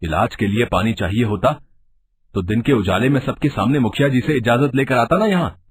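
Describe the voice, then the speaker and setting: A man playing an authoritative, principled villager in an animated storybook tale, with a husky voice. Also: mid-range voice, normal speed, lightly acted. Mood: accusing, stern. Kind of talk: animated storybook character acting.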